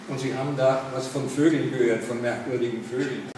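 A man's voice: mid-range voice, fairly even intonation, brisk, crowd noise. Older man lecturing in an echoey hall. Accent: Berlin. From Berlin. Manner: conversational, with frequent fillers and asides to the audience. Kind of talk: public lecture. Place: large indoor meeting room. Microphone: far camera, no mic. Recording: echoey room, distant camera, noisy.

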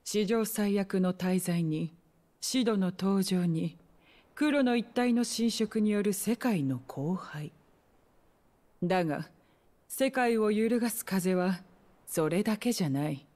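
An actress playing an eccentric, self-assured military scholar in a video game, cool and pompous with blunt phrasing. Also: female voice, mid-range voice, animated, slow, dark tone. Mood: grave, lecturing. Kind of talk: Japanese video game cutscene acting.

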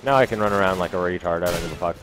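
Low-voiced brash young man gaming. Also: rapid-fire. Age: young adult. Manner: brash, foul-mouthed. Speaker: gaming video host. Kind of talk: casual live gameplay commentary. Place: small room indoors. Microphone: gaming headset mic. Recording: loud game gunfire behind voice.

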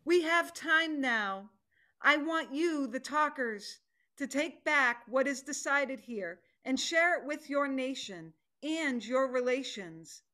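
A middle-aged woman playing a fierce, determined tiger in a dramatic play reading, speaking deliberately and with command. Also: high voice, expressive, pushed and bright, full character voice. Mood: grim resolve. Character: fierce female tiger, stern, determined hunter. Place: home room, video call.

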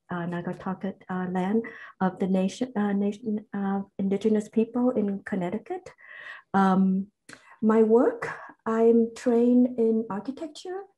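A woman from Vietnam introducing herself haltingly on a video call using earbuds. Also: mid-range voice, mellow, poor audio. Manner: halting, many ums. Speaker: artist.

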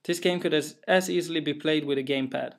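A young developer from Sweden, his English carrying a clear Swedish accent, talks through his own game with an untheatrical, matter-of-fact delivery. His voice sits a touch higher than most men's, and he leans on small fillers and careful phrasing as he explains. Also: fast talker. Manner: careful, plain, a little hesitant with fillers. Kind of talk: narrated gameplay walkthrough.

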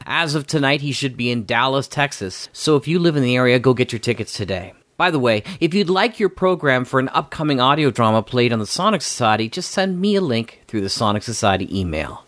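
A man hosting a podcast, giving upbeat, brisk announcements. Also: mid-range voice, fairly bright tone. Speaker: podcast host. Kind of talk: casual show intro.

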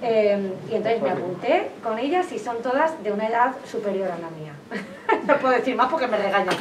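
A woman's voice: a little high, animated, brisk. Echoey, distant Castilian woman in her forties. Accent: Castilian Spanish. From Valdepeñas, Castile-La Mancha, Spain. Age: 40s. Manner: chatty, hand-gesturing, speaking through a face mask. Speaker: nurse and author presenting her book. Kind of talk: conversational public talk with anecdotes. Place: indoors, public library reading area. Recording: echoey room, distant microphone, clear background noise.

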